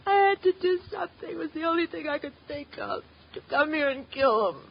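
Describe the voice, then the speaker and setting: On a muffled old radio recording, a fiery young woman with a very high voice that swings widely. She sounds emotional and protesting, in vintage crime drama style. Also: lively intonation, brisk, a little hiss or hum. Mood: desperate. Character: fiery, frightened young woman avenging her father. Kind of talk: 1940s-50s radio drama acting.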